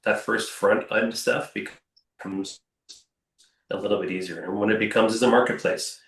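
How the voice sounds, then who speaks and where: A man's voice: fairly low pitch, steady tone, moderate pace, gravelly, a bit bright. A man who founded a robotics company, talking with energy and emphasis and often repeating his words. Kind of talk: panel discussion answer. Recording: echoey room, off-mic.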